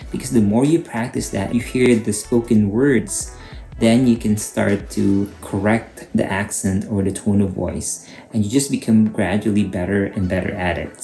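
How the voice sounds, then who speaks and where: A middle-aged Filipino man with a Filipino accent, hosting a channel and calmly explaining advice to camera. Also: fairly low pitch, gravelly. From Philippines. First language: Cebuano. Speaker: YouTube host, HR coach. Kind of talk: scripted advice talk to camera. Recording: echoey room with faint guitar music.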